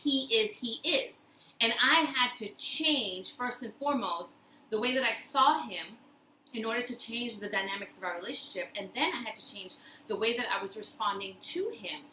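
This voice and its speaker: A woman with a fairly high, very bright voice gives a motivational talk as the host of her own video. She speaks emphatically, repeating key phrases to drive them home. The room adds a noticeable echo around her voice. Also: moderate pace. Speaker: host of a personal-growth video series. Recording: roomy echo, faint background noise.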